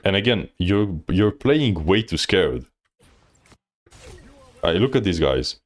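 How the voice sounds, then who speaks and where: Deep-voiced blunt game coach. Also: male voice, sing-song, slow pace. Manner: blunt, direct, filler-heavy coaching. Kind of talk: live-stream gameplay review.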